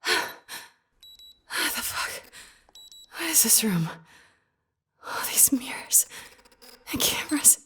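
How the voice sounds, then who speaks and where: A frightened, defiant woman therapist in an audio drama. Her voice is high and widely swinging in pitch. She speaks slowly in anxious, breathy, repeated fragments. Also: very animated, sharp tone, in a whisper, heavily acted.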